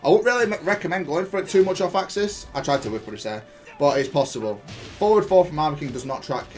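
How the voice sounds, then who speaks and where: A man's voice: fairly high pitch, expressive, fast. Man commentating gameplay over music. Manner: explanatory, jargon-heavy, thinking aloud. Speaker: gaming YouTuber and player analysing own match.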